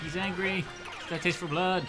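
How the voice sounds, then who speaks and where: A man's voice: high-pitched, fairly even intonation, fairly quick. A man in his thirties chatting casually as co-host of a gaming stream, reacting and asking questions. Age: 30s. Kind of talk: casual gameplay chat. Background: video game music.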